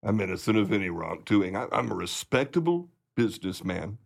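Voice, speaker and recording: An actor playing a cornered, blustering 1930s gangster boss in a pulp crime radio play. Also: male voice, fairly low pitch, big pitch swings, a little fast, gravelly, heavily acted. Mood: nervous protesting. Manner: stammering, blustering. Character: cornered male gangster boss posing as respectable.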